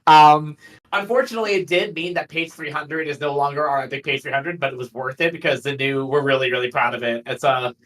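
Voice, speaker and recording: Man, slightly high voice, enthusiastic, rambling, casual livestream chat. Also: steady tone, a little fast, a bit bright, poor audio.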